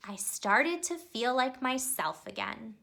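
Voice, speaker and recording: A woman reading a children's picture book aloud, telling it expressively and pausing to ask young listeners questions. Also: on the high side, animated, vocal fry, sharp tone. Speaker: storybook reader.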